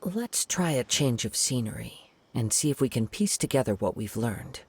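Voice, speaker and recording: Chill polite swordsman guy in a game, gravelly voice, reassuring and steady. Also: mid-range voice, normal speed. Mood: calm. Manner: calm, courteous, reassuring. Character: calm, polite young wandering swordsman. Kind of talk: game cutscene voice acting.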